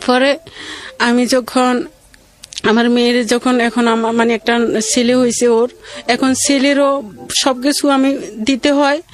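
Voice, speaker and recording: A middle-aged woman with a colloquial regional Bangladeshi accent tells her own life story in a halting, rambling, plaintive way. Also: a little high, little pitch movement. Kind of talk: emotional personal storytelling.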